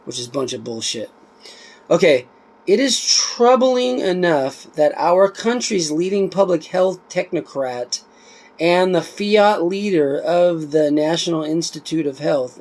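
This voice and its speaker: A man reading a book aloud in an informal way, emphatic and deliberate on the text, with offhand asides in between. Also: on the high side, a little mellow.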